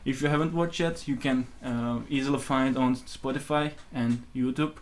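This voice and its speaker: A young adult hosts a podcast casually. The voice sits at a middle pitch, addressing viewers and guest in an informal live-stream style. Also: steady tone, moderate pace, a little hiss or hum. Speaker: podcast co-host.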